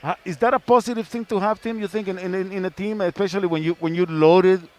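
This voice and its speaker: Higher-voiced man on a sports panel. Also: steady tone, fast, a little mellow. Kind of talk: pre-game sports panel discussion. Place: sports arena. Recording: faint venue noise.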